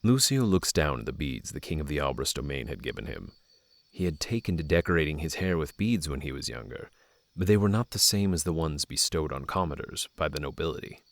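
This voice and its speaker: A man's voice: rumbling low, animated, a little fast, dry recording. A man acting as the narrator of a scripted fantasy audio drama, telling the tale in the third person. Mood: even.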